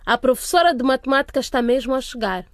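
A woman's voice: high voice, animated, fast talker, crowd noise. A woman acting a firm but kind school headmistress in a radio drama, commanding and formal.

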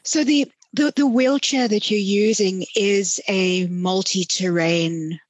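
A woman hosting a conversational interview, asking thoughtful, measured questions. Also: mid-range voice, dry recording. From South Africa. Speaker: podcast host, interviewer.

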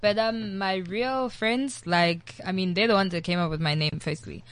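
Young South African woman, animated guest, loose chatty storytelling. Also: mid-range voice, normal speed. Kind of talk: casual interview chat.

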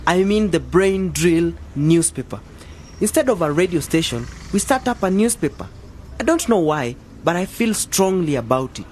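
A man's voice: fairly high pitch, expressive, moderate pace, darkish tone, a little hiss or hum, lightly acted. Man playing an earnest young man, swooping intonation. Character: earnest, reasoning youngster pitching ideas in friend group. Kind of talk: educational radio drama acting.

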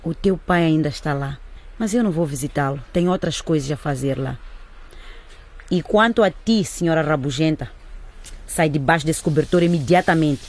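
A woman's voice: low-pitched, moderate pace, darkish tone. An actress in a radio drama playing a scolding, exclamatory middle-aged mother of three. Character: stern, harried mother of three.

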